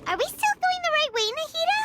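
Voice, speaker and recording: A woman voicing a tiny, cheerful, loyal fairy companion girl with a squeaky childlike voice in an English anime game dub. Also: fast talker, a little hiss or hum, heavily acted. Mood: curious, puzzled. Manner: excitable, exclamatory, speaks of self by name.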